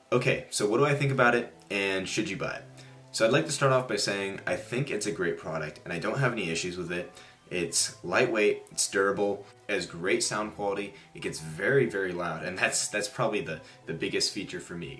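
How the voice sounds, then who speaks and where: Low-voiced man casually reviewing gear. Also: mellow. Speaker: product reviewer and video host. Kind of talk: casual product review to viewers.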